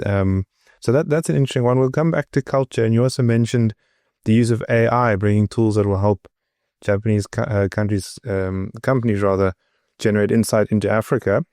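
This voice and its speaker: A man hosting a podcast, asking a guest about his background in a measured way. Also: lowish voice, dark tone, no room sound, studio quality. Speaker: podcast host. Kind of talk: interview questions.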